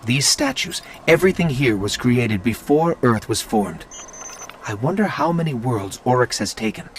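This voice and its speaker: A man's voice: medium pitch, sing-song, moderate pace, slight background noise. A man voicing a small, curious and wary robot companion in a video game, explaining things in an articulate, musing way. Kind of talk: video game voice acting, exploratory narration.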